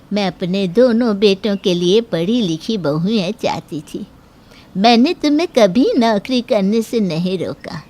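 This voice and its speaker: Woman playing a slow, stern Indian mother-in-law. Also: medium pitch, darkish tone. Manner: slow, measured, admonishing. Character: stern but fair middle-aged Indian mother-in-law. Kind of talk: Hindi moral-story cartoon dialogue.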